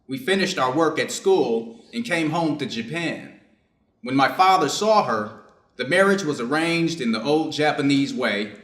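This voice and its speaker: A man reading the part of a middle-aged Japanese surgeon in a staged story, reflective and clinical, with formal, deliberate phrasing. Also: mid-range voice, a bit bright, close to an everyday voice. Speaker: reader's theater performer. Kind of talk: staged reading of a short story, measured delivery. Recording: echoey room.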